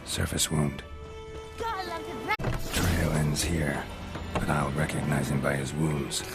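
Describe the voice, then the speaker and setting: A man playing a gruff, stoic monster hunter in a video game, terse and deadpan, with a low gravelly voice. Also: slow pace, full character voice. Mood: terse, focused. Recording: music behind the voice.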